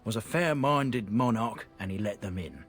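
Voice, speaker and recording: A man's voice: fairly low pitch, lively intonation, moderate pace, faint music behind, close to an everyday voice. An actor playing a nervous, chatty older trader in a game, telling his story in a folksy, rambling way. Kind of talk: game dialogue.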